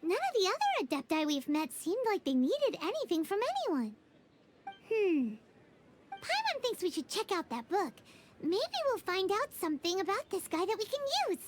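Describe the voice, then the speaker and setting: Tiny chirpy fairy sidekick, very high, wildly bouncy, game cutscene. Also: female voice, sing-song, moderate pace, background noise. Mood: curious. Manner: bubbly, talks of herself in third person. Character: tiny chirpy floating fairy companion, girl. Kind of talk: video game cutscene dialogue. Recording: game soundtrack music behind.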